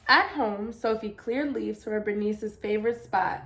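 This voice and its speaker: A woman reading a children's picture book aloud as storyteller, with expressive, deliberate emphasis. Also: medium pitch, gravelly, a little hiss or hum.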